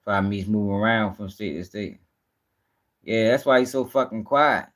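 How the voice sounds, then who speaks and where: A man's voice: lowish voice, moderate pace, a bit bright. A man hosting a casual livestream, commenting bluntly in slang with swearing and repeating himself for emphasis. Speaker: host.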